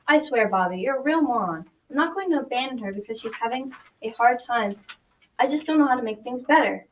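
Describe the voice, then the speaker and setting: A woman's voice: a little high, animated, a little fast, lightly acted. A teenage girl playing an earnest, worried granddaughter in a school radio drama. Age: young teenager. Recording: distant mic with background noise.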